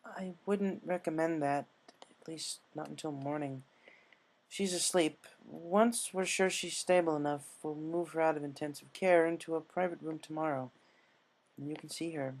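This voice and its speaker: A man voicing a solemn, apologetic female nurse pony with a gentle, hesitant, grave delivery. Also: on the high side, fairly even intonation, normal speed, pitched above the performer's own voice, low-quality recording. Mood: gentle, reassuring.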